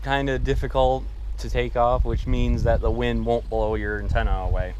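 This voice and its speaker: A man's voice: medium pitch, flat delivery, normal speed, muffled recording, background noise. A man casually narrating a hands-on vehicle project, thinking aloud as he works in an informal way. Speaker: DIY vehicle restoration vlogger.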